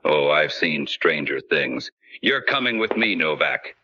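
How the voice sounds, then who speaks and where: An actor playing a hard-nosed, accusing police inspector in an old-time radio detective drama, tough and smug. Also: male voice, a bass voice, sing-song, a little slow, lo-fi sound, noisy background, heavily acted. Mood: menacing. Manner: forceful, gloating, tough. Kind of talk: hard-boiled radio cop acting.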